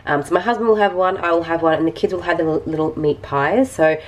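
A woman's voice: fairly low pitch, fast. A woman in her thirties with an Australian accent, chatting casually in a home kitchen vlog, sniffly and losing her voice, rambling with frequent ums. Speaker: vlogger. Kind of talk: casual grocery haul vlog.

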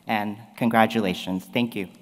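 A man's voice: fairly low pitch, fairly even intonation, normal speed, over a noisy crowd, very noisy. A young man giving an earnest, emotional graduation speech at a podium in a large hall. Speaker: graduating student speaker. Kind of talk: commencement speech. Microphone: podium mics. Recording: long hall reverb.

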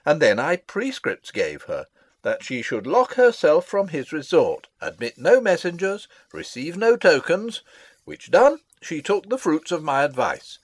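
Pompous old royal advisor, shakespeare style, slow n rambling, super swoopy pitch. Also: male voice, wildly swooping pitch, slightly rough, no echo, full character voice. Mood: self-important, earnest. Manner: rambling, self-satisfied, theatrical. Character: pompous, long-winded old male royal counsellor and father. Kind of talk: classical Shakespearean stage acting, dramatic reading.

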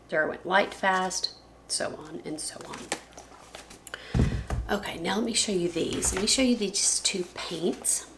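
A woman in her thirties with a scratchy, hoarse voice, chatting casually about her art supplies at a desk in her home studio, often correcting herself and trailing into soft asides. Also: on the low side. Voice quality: scratchy, gravelly voice. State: hoarse from allergies. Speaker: art vlogger. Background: faint room noise, dog scratching.

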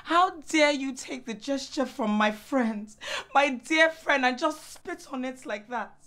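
A woman's voice: high voice, unhurried, a bit bright. A woman playing a hurt, exasperated wife in a screen drama, speaking with pointed emphasis and repeating words for force. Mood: hurt, reproachful. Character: hurt, exasperated wife confronting her proud husband. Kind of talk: naturalistic screen drama acting.

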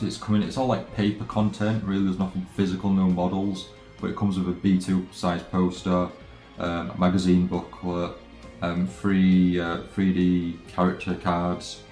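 A man streaming a game with casual chat, talking in a halting, hesitant way. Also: sits low, mellow. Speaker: let's play streamer. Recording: roomy microphone with game music behind. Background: game background music.

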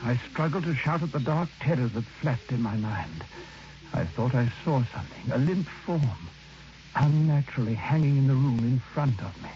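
An actor playing a reflective, haunted man who narrates his own tale in a measured, literary, solemn radio drama style. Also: mid-range voice, animated, crowd noise, close to an everyday voice. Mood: haunted, grave. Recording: noisy, dull old radio recording.

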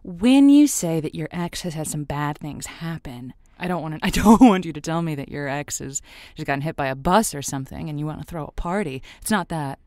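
Low-voiced, wry radio advice host. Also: female voice, lively intonation, brisk, mellow, dry recording, clean studio sound. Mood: welcoming, curious. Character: wry, feisty late-night radio advice host, a woman. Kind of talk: fiction podcast acting as late-night radio monologue.

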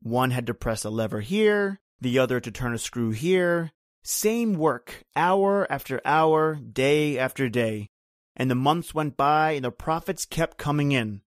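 A grim island man, played by a male actor, spins a bitter horror tale in the manner of old-time radio. His phrasing is folksy, rhythmic and brooding. The sound is dry, with a mid-pitched voice. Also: expressive, a little slow, no echo, close to an everyday voice.

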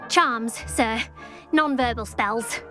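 A woman's voice: a little high, slow pace, music in the background. An actress playing a nervous, hesitant schoolgirl witch in a video game, awkward and dryly put-upon. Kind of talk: video game dialogue acting.

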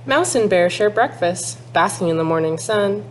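Woman in her thirties, children's read-aloud, sing-song, very animated, exaggerated emphasis, faint room noise. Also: medium pitch, moderate pace. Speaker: teacher reading aloud to young children. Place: small classroom, indoors.

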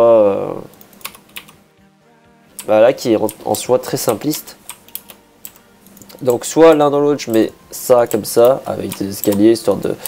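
A man with a hoarse voice, a bit sick, casually talking through a building project, thinking aloud with many hesitations and restarts. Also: on the low side, mellow. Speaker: Minecraft builder.

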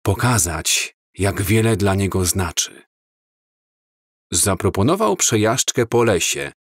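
A man reads a story aloud at a very slow, deliberate pace. His voice sits a little low and stays calm throughout. The sound is dry and close, with no room around it. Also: dry recording. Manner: slow, measured, calm reading. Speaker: audiobook narrator. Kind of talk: scripted storybook narration.

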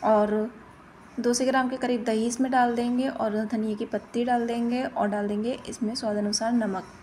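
A woman's voice: fairly high pitch, warm tone, a little hiss or hum. A woman giving plain-spoken, step-by-step instructions in a recipe voice-over as host of a cooking channel.

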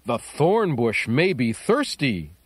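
A storyteller voicing a kind policeman in a plain, gentle voice, over soft music. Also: mid-range voice, wildly swooping pitch, takes it slowly, slightly rough, no room sound, full character voice. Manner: gentle, reassuring.

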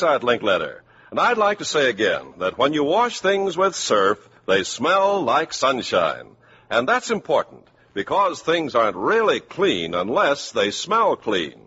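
An American man reading scripted announcements in 1950s radio style, deliberate and emphatic. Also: medium pitch, sharp tone, a little hiss or hum. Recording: dull 1950s radio broadcast recording.

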